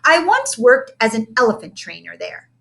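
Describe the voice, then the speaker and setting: A woman voicing a calm, eccentric male schoolteacher in a storybook, mild and pondering. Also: a little high, normal speed, vocal fry, full character voice. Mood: pleasantly earnest.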